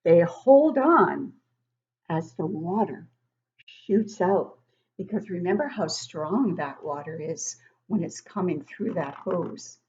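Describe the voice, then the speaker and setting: A woman reading a picture book aloud to young children in an expressive, explanatory way. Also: lowish voice, highs cut off, slight background noise. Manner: animated, explanatory, child-directed.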